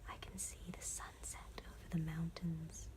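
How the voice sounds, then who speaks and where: A woman voicing an easygoing, contented male grasshopper in a gentle whisper for an ASMR bedtime storybook. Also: sits low, little pitch movement, measured pace, rough recording. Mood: calm, content.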